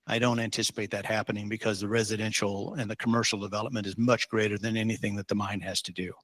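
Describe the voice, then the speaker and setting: A man's voice: fairly low pitch, little pitch movement, fast talker, a bit bright, dry recording. A man from Utah, a state official leading a public meeting, talking informally with many ums and rambling asides.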